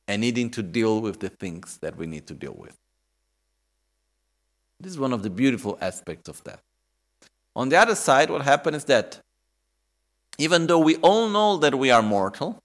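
A man in his thirties giving a Buddhist dharma talk in non-native English, reflective and often checking in with "okay?". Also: mid-range voice. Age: 30s. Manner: reflective, repeats questions to the audience with "okay?" and "no?". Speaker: Buddhist teacher.

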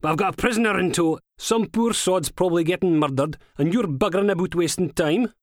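A bossy Scottish policewoman, acted out by a male audiobook reader, pitched up well above his narration. She is brash, sarcastic and impatient, with a mocking edge, recorded very dry. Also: fairly quick, dry recording, natural voice. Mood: irritated. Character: bossy, sarcastic, foul-mouthed female police inspector.

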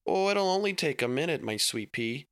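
A man's voice: a little high, animated, normal speed, a bit bright, dry recording, studio quality, voice pushed up. A man voicing a folksy, overly sweet small-framed father who talks in homespun phrases. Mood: cheery folksy.

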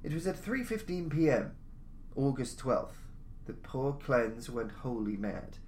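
A man reading a story aloud in a measured, formal, theatrical way, as a podcast narrator. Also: mid-range voice. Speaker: narrator, audiobook-style podcast reader. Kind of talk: dramatic scripted story narration. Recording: noticeable background noise, jungle-like ambience.

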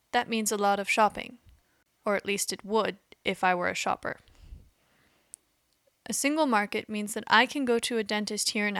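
A woman hosting a podcast, giving measured, explanatory scripted narration. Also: medium pitch, fairly bright tone, clean tone, no echo, studio quality. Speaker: podcast host.

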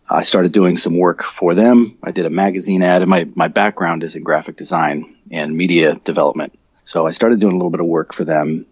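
A deep-voiced, husky middle-aged American man talking through a dull, muffled line with a dry sound. He rambles easily, restarts his sentences and fills gaps with ums, like a guest chatting on a podcast. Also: no echo. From Colorado, USA. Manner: relaxed, rambling, self-correcting with frequent ums. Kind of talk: conversational podcast interview.

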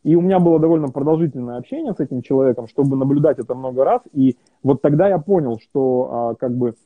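A man's voice: mid-range voice, fast, slightly rough, no room sound. A man being interviewed, talking in a casual, hesitant way with many fillers and restarts. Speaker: interview guest. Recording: tinny phone-like line.

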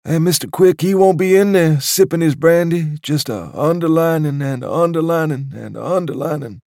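A man's voice: a little high, moderate pace, a little mellow, dry recording, clean studio sound, pitched above the performer's own voice, close to an everyday voice. A man voicing a sweet, doddering old male club waiter, mournful and respectful.

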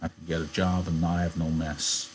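A man voicing a weary, gruff but protective ranch hand in a novel reading, speaking in a subdued, wooden monotone. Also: sits low, normal speed, slight background noise. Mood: wooden, flat.

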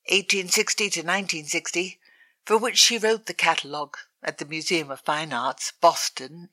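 A woman reading a written obituary aloud in a measured, even way. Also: on the low side. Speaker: reader.

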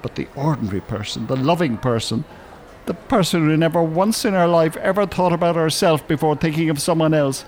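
An actor playing a bitter, pedantic older Irish retired English teacher in an audio drama, dry and well-spoken. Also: male voice, a little high, brisk, darkish tone, slight background noise, natural voice. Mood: bitter, angry.

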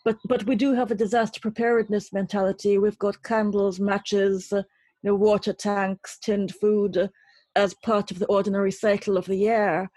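A woman speaking reflectively as an interview guest, in an articulate, literary and deliberate way. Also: mid-range voice, level tone, dark tone, breathy edge, no room sound. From Trinidad. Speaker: interview guest, poet and writer.

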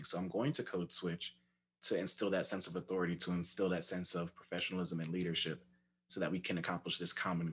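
Deep-voiced young man, measured and articulate. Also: fairly bright tone, a little hiss or hum. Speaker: panelist, former resident assistant. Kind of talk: casual discussion.

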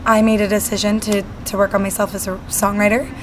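A woman's voice: mid-range voice, level tone, quick pace. Young woman, mid-range voice, bubbly and chatty, faint hiss behind. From Salt Lake City, Utah. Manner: bubbly, chatty, many fillers. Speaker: singer-songwriter guest. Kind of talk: casual interview.

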